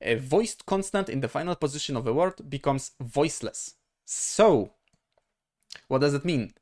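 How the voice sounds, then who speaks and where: Polish man teaching, halting and methodical. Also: a little high, expressive, darkish tone. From Poland. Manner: halting, methodical, self-checking with short questions. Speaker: language teacher. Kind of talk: informal grammar lesson.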